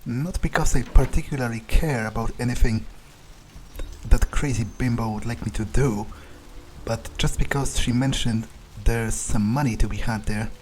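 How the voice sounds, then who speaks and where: Male gamer for in-character playthrough narration. Medium pitch, musing and casually profane, slight background noise. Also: moderate pace, darkish tone, rough recording.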